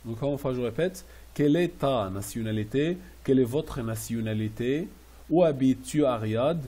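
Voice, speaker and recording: A man teaching French classroom phrases, repeating each slowly and translating it into English. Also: mid-range voice, mellow. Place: Riyadh.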